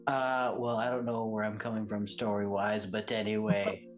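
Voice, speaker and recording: A man's voice: fairly low pitch, little pitch movement, moderate pace, faint music behind. Rambling male player, dull lo-fi sound. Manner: rambling, casual, hesitant. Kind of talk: describing his character in a tabletop game.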